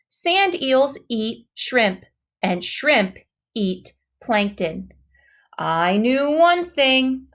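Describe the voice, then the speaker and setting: High-voiced woman reading a storybook slowly. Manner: dramatic, with exclamations and emphatic slow words. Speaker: storybook reader. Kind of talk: expressive children's read-aloud narration.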